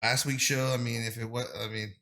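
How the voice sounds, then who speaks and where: A man's voice: mid-range voice, level tone, brisk, a crowd in the background. A man in his thirties hosting a casual podcast chat, crude and joking. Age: 30s. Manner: crude, joking, laughs loudly at own jokes. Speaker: podcast host.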